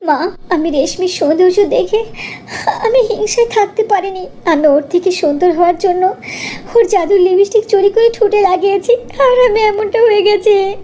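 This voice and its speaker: A woman's voice: very high voice, moderate pace, warm tone, a little hiss or hum. A woman voicing a jealous, vain, scheming young sister in a cartoon fairy tale. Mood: tearful remorse.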